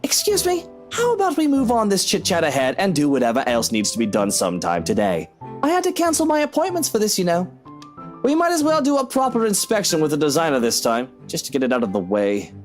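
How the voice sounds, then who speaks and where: A man's voice: fairly high pitch, wildly swooping pitch, fairly quick, faint music behind. A man playing a haughty, sarcastic, impatient rich husband in a dramatic visual novel, with a petulant and imperious manner. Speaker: game character.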